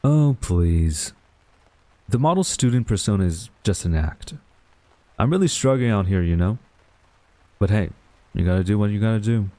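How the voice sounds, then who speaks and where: A man's voice: fairly low pitch, animated, dark tone, no room sound, close to an everyday voice. A man acting a young university student in a romantic audio roleplay, a defensive, secretly smitten rival who grumbles and gets flustered. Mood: casual, guarded. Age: young adult. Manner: flustered, grumbling, halting denials.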